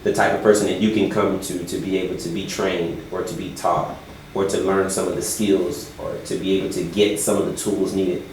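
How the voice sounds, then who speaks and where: Deep-voiced guy answering interview questions slowly, lots of uhs, super echoey room. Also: little pitch movement, a little mellow. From United States. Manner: measured, deliberate, many "uh" fillers. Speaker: volunteer teacher. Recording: very echoey room, faint noise.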